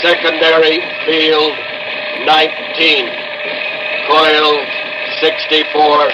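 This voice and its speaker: An actor playing an obedient, emotionless robot lab assistant in a 1960s radio drama, speaking in clipped monotone commands. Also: male voice, a little high, drawn-out words, heavy background noise. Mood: emotionless, mechanical. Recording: muffled old radio recording under loud music.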